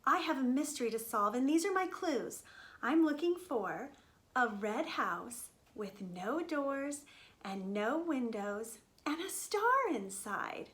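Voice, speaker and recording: A little country mouse from a children's storybook, played by a woman, eager and curious. The voice sits high and moves up and down with lively expression. He speaks politely, with childlike earnestness. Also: takes it slowly, full character voice. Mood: hopeful, polite.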